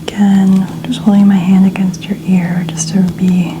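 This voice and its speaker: A woman doing soft-spoken, breathy ASMR, often whispering as she gently and soothingly narrates each step. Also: mid-range voice, flat delivery, normal speed, noisy background. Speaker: ASMR creator styling a client's hair.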